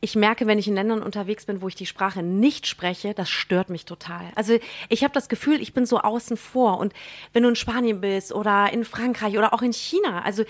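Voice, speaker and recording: A young woman from Germany talks as a guest in a radio studio. She sounds bubbly and enthusiastic, laughs often and uses casual slang. Her voice sits at an ordinary pitch. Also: fairly quick. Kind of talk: casual interview chat. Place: radio studio in a theme park.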